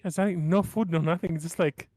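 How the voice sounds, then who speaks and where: A man's voice: fairly high pitch, steady tone, normal speed, a little mellow, no room sound. A man chatting casually as a tabletop game player, tentative and often repeating his words.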